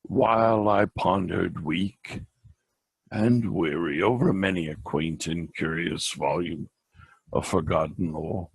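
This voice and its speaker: A man giving a slow, theatrical poetry recitation in a gravelly, husky voice, with deliberate pauses. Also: deep voice, no room sound. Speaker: reader and presenter.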